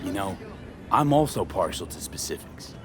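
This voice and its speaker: Terse, low-voiced mercenary man. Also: sing-song, normal speed, noisy background. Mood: dry, teasing. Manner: terse, matter-of-fact. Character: tough, pragmatic male street mercenary. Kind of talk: naturalistic video game dialogue.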